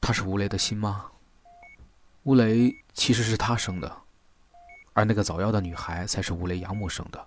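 A man acting a sombre investigator in a Chinese audio drama, recounting things gravely and in a measured way. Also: fairly low pitch, steady tone, slight background noise, close to an everyday voice. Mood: sombre, explanatory.

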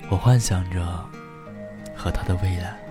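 An actor playing a gentle, devoted young boyfriend in a radio drama, speaking softly, tenderly and unhurriedly with a wistful air. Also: male voice, low voice, fairly even intonation, natural voice. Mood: sad, heartbroken. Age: young adult. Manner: soft, tender, unhurried, breathy phrase endings. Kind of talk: intimate first-person radio drama narration. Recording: music behind the voice.

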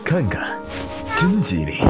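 A man's voice: mid-range voice, wildly swooping pitch, slow, loud music behind the voice. A man playing a kindly, folksy elderly shopkeeper in a Chinese period audio drama. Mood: chatty, admiring. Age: older. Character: kindly elderly male shopkeeper, admiring a child.

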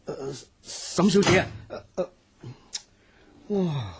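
An actress playing a humble, awkward messenger in a radio drama, hesitant and deferential, with a Hong Kong Cantonese accent. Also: female voice, fairly low pitch, expressive, slow, noisy background. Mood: humble, startled.

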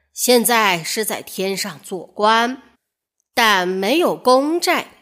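A woman voicing a learned man in a storybook who reads out an ancient letter with deliberate authority. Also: medium pitch, fairly bright tone. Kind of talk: storybook character voice.

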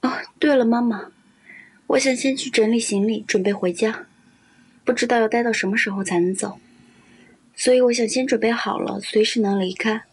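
An actress in an audio drama playing a restless, gloomy daughter with a downcast, complaining manner. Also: female voice, fairly high pitch, fairly even intonation, normal speed, breathy edge, poor audio. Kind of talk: radio drama acting.

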